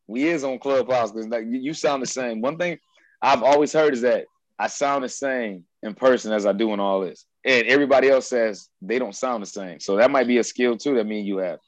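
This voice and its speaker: Excitable American male host, slangy, dry recording. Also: mid-range voice, a little fast, dry recording, poor audio. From United States. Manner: excitable, slangy, repeats himself. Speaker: podcast host. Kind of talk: casual chat. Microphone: headphones with mic on a video call.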